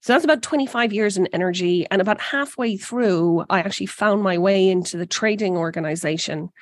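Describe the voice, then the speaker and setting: A woman's voice: medium pitch, fairly quick, no echo. Irish woman, dry close sound. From Ireland. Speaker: energy company president, guest. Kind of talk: interview conversation.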